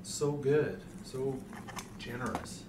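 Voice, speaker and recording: A man's voice: medium pitch, slow pace, natural voice. A man playing a creepy, outwardly kindly dentist with unctuous, condescending persuasion in an audio story, recorded in a hotel room. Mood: reverent. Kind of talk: hobby audio story reading. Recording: roomy hotel room, distant, noisy hum.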